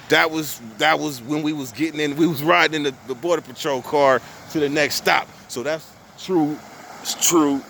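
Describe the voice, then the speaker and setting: A man's voice: fairly high pitch, a bit bright, a little hiss or hum. A young man telling a long story to his viewers in casual street slang, cussing and restarting his sentences often. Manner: profane, rambling, street slang; restarts and repeats himself often.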